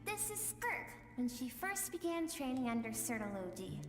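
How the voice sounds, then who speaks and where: An actress playing a solemn, reserved young woman in a fantasy video game, speaking in a soft, wistful and deliberate way. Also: high voice, sing-song. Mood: solemn, reflective. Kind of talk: dramatic video game acting. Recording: long echoing reverb, music behind.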